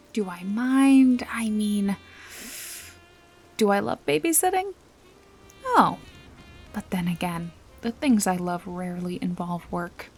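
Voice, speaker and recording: A woman playing a wry, bossy detective-bodyguard in an intimate noir ASMR roleplay, with a teasing, casually threatening manner and a breathy voice that often drops toward a whisper. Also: medium pitch, sing-song, slow, pauses often, faint music behind, full character voice. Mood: wry, amused.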